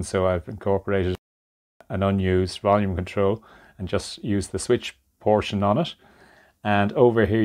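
Low-voiced man explaining workshop work. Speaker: hobbyist presenter of a radio restoration. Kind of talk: unscripted hands-on workshop narration. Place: indoor workshop at a workbench.

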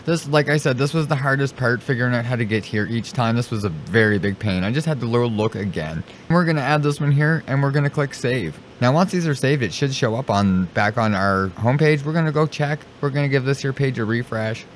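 A man with a mid-pitched voice walks through a software tutorial step by step. He speaks informally and sometimes repeats himself as he narrates his clicks. There is clear noise in the recording. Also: animated, a little fast, hardly any pauses. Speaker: streamer giving a software tutorial. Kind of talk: casual step-by-step screen tutorial. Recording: clearly noisy home recording.

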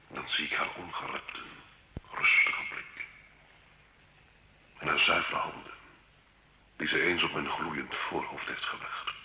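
Haunted condemned nobleman in an old radio play, piercing forceful voice, really slow, brooding. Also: rumbling low, slight background noise, full character voice. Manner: solemn, brooding, dramatic. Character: condemned nobleman, former soldier, haunted, proud, anguished sinner. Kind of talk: slow theatrical radio drama acting. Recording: dull old radio recording, roomy echo.

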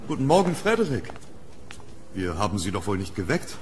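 A noisy horror radio play recording with ambience behind the voice. A man plays a deep-voiced, famous gentleman explorer. He is formal and courteous, with unease under the deliberate politeness. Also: very animated. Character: rich, famous, courteous but uneasy English gentleman archaeologist. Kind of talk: German horror audio drama acting.

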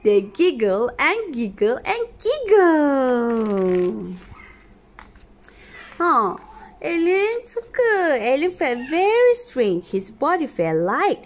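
A woman's voice: high voice, very animated, drawn-out words. A Malaysian woman, a native Malay speaker, reads a picture book to a young child, explaining it simply with repetition. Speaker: parent reading to a young child. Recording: noisy home phone recording.

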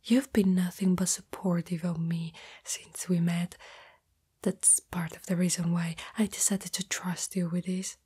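Woman voicing a shy spider girlfriend in breathy whispers. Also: on the low side, little pitch movement, dark tone. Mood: gentle, confiding. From Italy. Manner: slow, hesitant, intimate. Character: shy, loving young female spider-girl, afraid of rejection. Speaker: ASMR roleplay performer. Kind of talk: whispered ASMR girlfriend roleplay.

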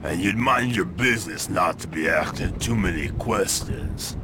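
An actor playing a gruff, hostile small-town constable in a video game, curt and menacing, with rustic phrasing. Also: male voice, low-pitched, lively intonation, measured pace, background noise. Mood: curt, evasive.

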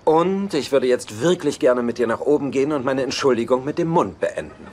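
A man playing a sarcastic, selfish bachelor in a sitcom dub, grumbling and deadpan. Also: mid-range voice, fairly quick, close to an everyday voice. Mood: grudgingly contrite. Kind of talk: dubbed sitcom dialogue. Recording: noisy TV sitcom soundtrack.

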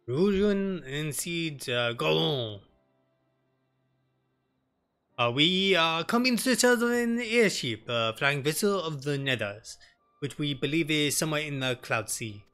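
A man's voice: fairly high pitch, lively intonation, a little slow, fairly bright tone, faint music behind. A man voicing an earnest, courteous young elf nobleman with polite, formal speech in a fantasy game.

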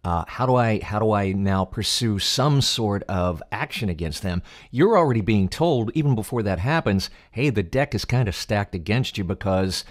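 A man's voice: fairly low pitch, animated, normal speed, few pauses. A man, interviewing conversationally as host into a close microphone. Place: home office. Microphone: boom-arm microphone with headphones.

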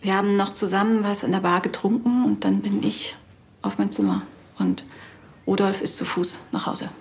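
A woman's voice: mid-range voice, sing-song, moderate pace. An actress playing a shaken, subdued musician in her thirties in a TV crime drama.

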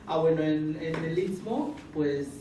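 A man's voice: fairly high pitch, measured pace. A man from Juchitán in Mexico giving an earnest testimony at a panel, explaining patiently and repeating his key words. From Juchitán, Isthmus of Tehuantepec, Mexico. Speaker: panel speaker. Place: conference room. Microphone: table microphone, recorded by far camera. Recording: echoey room from far off, noisy.

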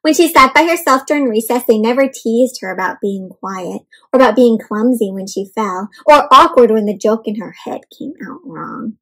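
A young woman hosting an interactive read-aloud of a picture book for children, talking to them warmly as "my friends" and asking them questions. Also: fairly high pitch, moderate pace, few pauses, slightly rough, low-quality recording. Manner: animated, addresses kids as "my friends". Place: home room with bookshelf and plants.

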